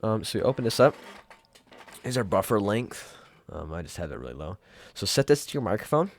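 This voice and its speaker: A man giving a casual, off-the-cuff software tutorial in an offhand, rambling and informal way. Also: low-pitched, no echo. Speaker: tutorial presenter.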